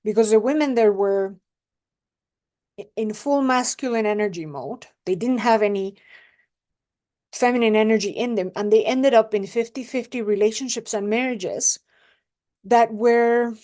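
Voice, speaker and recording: A young adult woman, a relationship coach giving advice in an unhurried, reflective way and often checking in with the listener. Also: a little high, mellow. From Mexico. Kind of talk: casual advice monologue to camera.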